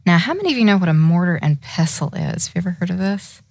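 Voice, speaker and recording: A woman's voice: on the low side, animated, fast, gravelly, darkish tone, dry recording. A woman telling a fairytale live, in a dramatic, emphatic way, drawing her listeners in as she goes. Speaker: storyteller.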